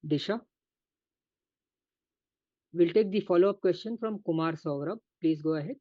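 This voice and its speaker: Male call operator, fairly high voice, formal moderation, muffled lo-fi line. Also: normal speed, no room sound. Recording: dry, dull conference line.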